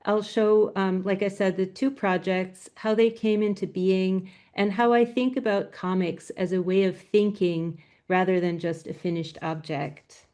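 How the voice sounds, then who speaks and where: A woman giving a talk as a guest speaker over a video call, speaking with a quiet, soft-spoken voice. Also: medium pitch, steady tone, a little slow, few pauses. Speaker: guest speaker, graphic novelist.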